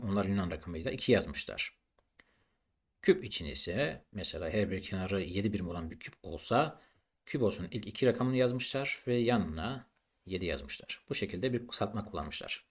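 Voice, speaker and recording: A man teaching a maths lesson in an explanatory, didactic way, posing questions and then answering them. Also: on the low side.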